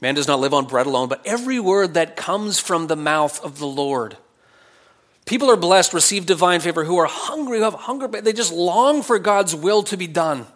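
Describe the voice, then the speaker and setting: A man preaching in an expository style, reading verses and explaining them conversationally, posing questions and answering them, swinging between emphatic phrases and quieter asides. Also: sits high, expressive, normal speed. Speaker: preacher teaching scripture.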